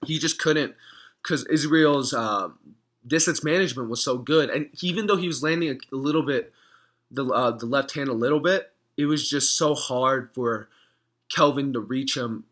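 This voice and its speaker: A young man with a hoarse voice, talking casually to camera in a reaction vlog, rambling, clearing his throat often. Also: medium pitch, moderate pace, a bit bright. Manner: rambling, frequent throat clearing and filler words. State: hoarse from screaming. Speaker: MMA fan vlogger.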